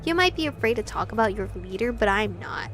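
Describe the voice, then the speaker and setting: A woman's voice: mid-range voice, lively intonation, fairly quick, a bit bright, faint music behind, natural voice. A woman voicing an angry, passionate young male vampire rebel in a visual novel, accusing and insistent. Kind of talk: visual novel read-aloud.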